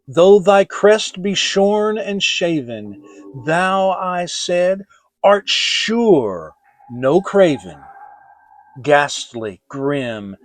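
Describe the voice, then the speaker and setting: Man acting a haunted grieving scholar, theatrical gothic verse, widely swooping pitch, very slow, dry close sound. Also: no echo. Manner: theatrical, brooding, drawn-out delivery with swelling and hushed phrases. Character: grieving, haunted scholar alone at night, increasingly fearful. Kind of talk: dramatic poetry reading, gothic.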